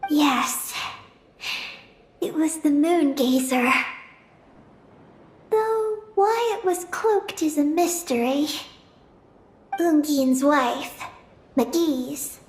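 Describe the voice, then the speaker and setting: A woman voicing an earnest, determined young girl in a game, speaking in a solemn, measured way. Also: high-pitched, a little mellow, echoey room, a little hiss or hum. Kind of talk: dramatic game voice acting.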